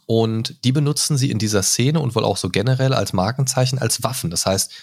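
A man's voice: mid-range voice, fairly quick, creaky. A man hosting a podcast, chatting casually and often adding filler words and tag questions. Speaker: podcast host. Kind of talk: casual chat.

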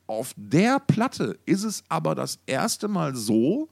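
A man's voice: fairly high pitch, big pitch swings, slow, creaky. A man co-hosting a podcast in casual, slangy, enthusiastic music talk, often repeating words as he searches for phrasing. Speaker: podcast co-host.

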